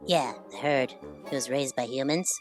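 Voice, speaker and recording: A woman playing a brisk, stern but fair male mouse foreman in an audio drama. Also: very deep voice, music in the background. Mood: skeptical.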